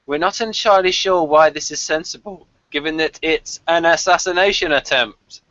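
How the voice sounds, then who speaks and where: A man's voice: a little high, normal speed, fairly bright tone, dry recording, highs cut off. A man co-hosting a casual review chat, with a wry way of talking as he mixes prepared jokes with asides. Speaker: podcast co-host.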